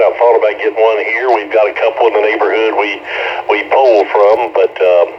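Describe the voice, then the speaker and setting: An American man running a casual ham radio net, talking in a folksy way over a radio transmission. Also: fairly low pitch. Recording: muffled radio audio with static noise.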